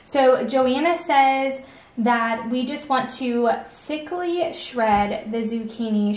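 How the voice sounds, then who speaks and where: A woman giving a casual, friendly and chatty recipe walkthrough as a cooking vlogger. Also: on the high side. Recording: echoey room, music underneath. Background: background music.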